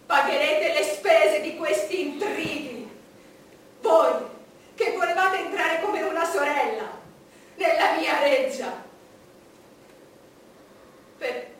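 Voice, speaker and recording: Imperious queen, high-voiced, echoing stage. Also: a little hiss or hum. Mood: accusing, triumphant. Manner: declamatory, dramatic pauses, swinging from hushed to thundering. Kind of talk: theatrical stage monologue in Italian drama. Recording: very echoey stage, distant mic, music behind.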